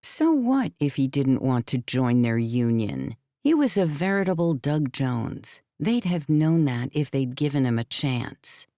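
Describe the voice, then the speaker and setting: Female audiobook narrator: deep for a woman, crisp scripted storytelling, very dry studio recording. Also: sing-song, measured pace, hardly any pauses, mellow, no echo.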